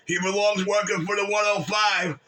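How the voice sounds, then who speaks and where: A man's voice: high voice, flat delivery, moderate pace, bright, forceful tone. A man, bragging and trash talking profanely in casual chat. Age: 60s. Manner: brash, boastful, profane trash talk. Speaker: regular on a call-in chat room show. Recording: distant mic.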